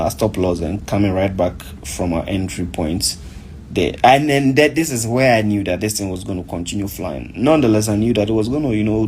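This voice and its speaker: A man's voice: lowish voice, a little mellow, a little hiss or hum. A man from Africa, a forex trader, walking through a chart in a rambling, conversational way and often asking "right?". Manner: conversational, rambling, fills with "you know" and "right?". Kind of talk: casual chart walkthrough.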